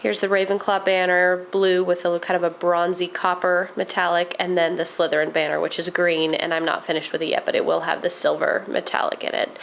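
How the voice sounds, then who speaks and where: A woman's voice: on the low side, monotone, fairly quick, gravelly, hardly any pauses, slight background noise, low-quality recording. A young woman chatting enthusiastically about her crafts with lots of ums, a little nasal while getting over a cold. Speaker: crafting vlogger. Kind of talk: casual show-and-tell vlog.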